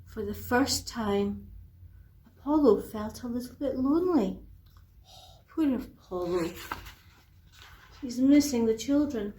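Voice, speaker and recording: A woman tells a children's bedtime story in a fairly high voice. She goes very slowly and deliberately, with expressive phrasing and asides for young listeners. The room is noticeably echoey. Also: slight background noise.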